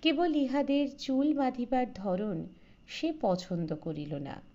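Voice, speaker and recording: A woman reading a literary story aloud in a measured, expressive storytelling manner. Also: fairly high pitch, dull audio. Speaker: audiobook narrator. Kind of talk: scripted literary story narration.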